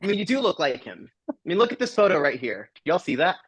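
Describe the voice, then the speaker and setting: A man's voice: high-pitched, big pitch swings, fast talker, fairly bright tone, husky, no room sound. A man hosting a casual talk show, asking rambling, mock-serious questions in a playful way.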